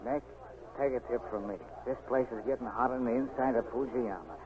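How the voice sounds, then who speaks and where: A man's voice: medium pitch, steady tone, a little fast, close to an everyday voice. A man acting a boastful, boozy newspaperman in a 1940s radio drama, swaggering and rambling. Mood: mock-dignified, chummy. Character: boastful, boozy American newspaperman, giddy with new money. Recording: noisy, tinny 1940s radio recording.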